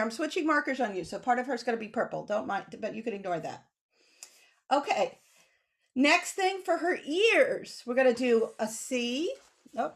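A middle-aged woman leading a drawing lesson for children, explaining simply with animated emphasis on key words. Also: fairly high pitch. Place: small home room with bookshelves. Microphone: computer mic on a video call.

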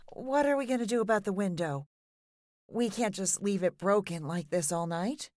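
A woman's voice: mid-range voice, moderate pace, a little mellow, slight background noise, natural voice. A woman playing a fretful, tender middle-aged mother in an audio drama. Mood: worried. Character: Catherine, worried, caring middle-aged mother.

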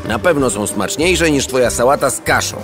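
A man's voice: mid-range voice, a little fast, close to an everyday voice. An actor voicing a cocky young male cartoon dog who teases and boasts. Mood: scornful. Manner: boastful, teasing, very lively. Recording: studio cartoon audio with music behind.

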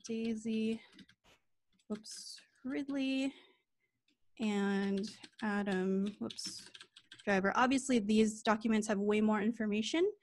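A woman giving a casual screen-share coding demo on a video call, informal and quick, with small laughs and self-corrections. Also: mid-range voice. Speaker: lightning talk speaker.

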